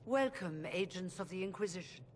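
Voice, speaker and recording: An actress playing a dignified middle-aged female mage leader in a video game, speaking formally and deliberately. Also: on the low side, animated, a little mellow, natural voice. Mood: formal, troubled. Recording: long hall reverb, faint ambient noise.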